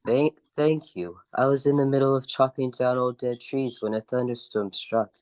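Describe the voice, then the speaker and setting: An earnest tin man of the woods, voiced by a man. He speaks at a middling pitch, gentle and puzzled, in storybook radio-play style. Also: steady tone, moderate pace, natural voice. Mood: grateful, earnest. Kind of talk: amateur radio play acting.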